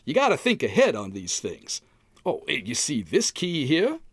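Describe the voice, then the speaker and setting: An actor playing a stubborn, folksy sitcom husband in old-time radio comedy style, hemming and hawing in colloquial speech. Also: male voice, a little high, wildly swooping pitch, moderate pace, slightly rough, no room sound, full character voice. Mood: proudly defensive. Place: recorded at home, dry and close.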